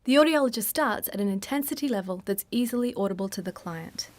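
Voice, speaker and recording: A woman's voice: mid-range voice, lively intonation. Woman narrating a formal instructional film. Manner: formal, measured explanation.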